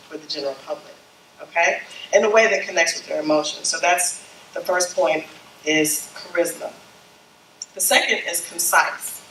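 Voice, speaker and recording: A woman's voice: fairly low pitch, sharp tone, echoey room, far from the mic. Scratchy-voiced woman giving a workshop talk. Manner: conversational, checks in with "okay?" and "you know?". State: scratchy from a summer flu. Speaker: branding consultant speaking to church leaders. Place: meeting room with a small group.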